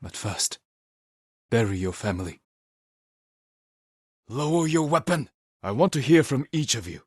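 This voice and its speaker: An actor playing a stern, honorable samurai warrior in a video game, with a husky voice and a measured, terse, commanding manner. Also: male voice, mid-range voice, big pitch swings, no room sound, studio quality. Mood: grave, subdued, then firm, demanding. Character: stern honorable adult male samurai warrior, dutiful and weary. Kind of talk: video game character voice lines.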